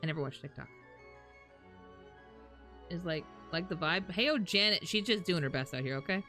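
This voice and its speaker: Bright-voiced woman streamer chatting casually. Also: on the low side, expressive, moderate pace, faint music behind. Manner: informal, slangy, talking to chat. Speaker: live streamer. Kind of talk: casual live-stream chat and game reaction.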